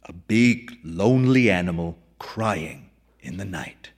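A brooding, mysterious lighthouse keeper from a storybook, acted out by a male reader. His voice sits very low and husky, and he muses in a hushed, folksy way, wistful about the sea. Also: lively intonation, takes it slowly, heavily acted. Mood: brooding, ominous.

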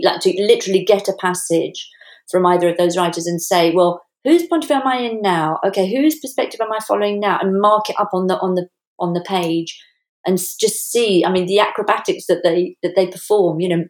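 A woman with a slightly low voice, recorded in a noticeably roomy space. She talks as a literary guest in a thoughtful podcast conversation, slipping animatedly into imagined replies to illustrate her points. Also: fairly even intonation, a little fast. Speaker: guest novelist and writing teacher. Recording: roomy, echoey sound.

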